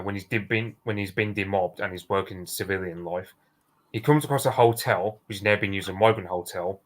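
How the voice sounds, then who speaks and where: A man's voice: fairly low pitch, a bit bright. A man co-hosting a casual political chat, telling a story with lots of fillers. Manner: anecdotal storytelling, filler-heavy. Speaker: podcast co-host.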